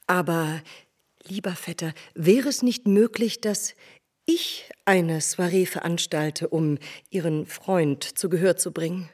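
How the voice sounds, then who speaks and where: An actress playing an effusive, insistent high-society lady in a radio drama, with an affected, emphatic manner. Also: takes it slowly, a little mellow, slightly rough. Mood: coaxing.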